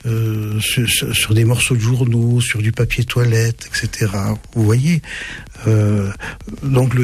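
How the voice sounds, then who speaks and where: A man in his seventies whose first language is German, speaking in a very husky, breathy voice that sits low. He answers an interviewer thoughtfully but haltingly, stumbling and restarting often. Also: a little mellow. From southwest France. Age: 70s. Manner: halting, many restarts and fillers. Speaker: guest author. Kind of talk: radio interview.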